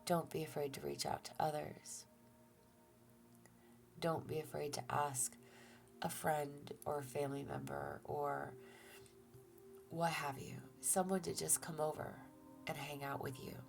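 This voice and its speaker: A low-pitched woman with a slightly gravelly texture gives a calm, reassuring tarot reading. She speaks softly, often falling almost to a whisper, and sounds a little stuffed up. Faint music plays underneath. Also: unhurried, long pauses. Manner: soft-spoken, often drops to a near-whisper; gentle and reassuring, with fillers and restarts. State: stuffy nose. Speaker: tarot reader. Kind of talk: relaxed tarot reading to viewers.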